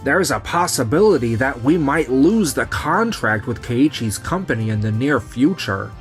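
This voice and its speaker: A man's voice: mid-range voice, lively intonation, moderate pace, faint music behind, lightly acted. A man voicing a self-assured businessman in a manga romcom dub. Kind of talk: scripted manga dub acting.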